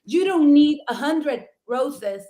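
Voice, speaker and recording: A woman's voice: sits high, lively intonation, slow pace, mellow. A middle-aged woman from Mexico with a Mexican accent, a native Spanish speaker, telling her story as a co-host, enthusiastic and laughing often, often rephrasing with "I mean". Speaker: florist and talk show co-host.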